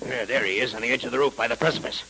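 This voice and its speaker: An American actor playing a menacing, cocky gangster in 1930s radio style, curt and threatening. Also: male voice, medium pitch, racing, vocal fry, sharp tone, low-quality recording. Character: menacing, cocky male gangster guarding a captive boy. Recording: hissy 1930s radio recording.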